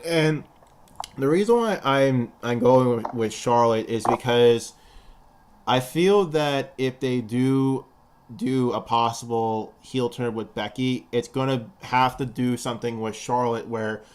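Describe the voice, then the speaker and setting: A man's voice: mid-range voice, takes it slowly, a little hiss or hum. A young man with a lisp, co-hosting a casual wrestling prediction chat and rambling with repeated words. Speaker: podcast co-host.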